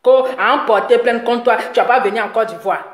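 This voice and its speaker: A high-voiced woman delivers a rambling, scolding commentary. She mocks her target and laughs often between jabs. Her voice rings in a roomy, echoing space. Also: fairly quick. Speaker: online commentator. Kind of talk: ranting commentary video.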